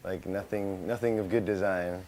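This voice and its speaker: A man's voice: deep voice, steady tone, moderate pace, a little mellow. A young American man from California, founder of a small company, talking casually in an interview with many restarts and Indonesian words mixed in. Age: young adult.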